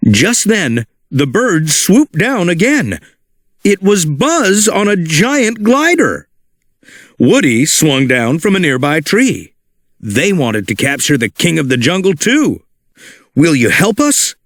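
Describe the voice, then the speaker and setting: Animated male storybook narrator. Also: on the high side, slow pace, no room sound, studio quality. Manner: animated, expressive reading for children. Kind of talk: read-along storybook narration.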